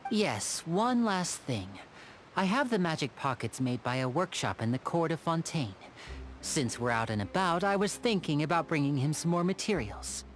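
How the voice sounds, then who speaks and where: A woman playing a poised, thoughtful female magician in a video game, measured and composed. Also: low-pitched, expressive. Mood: curious, composed. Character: poised female magician, cool, cryptic, quietly caring.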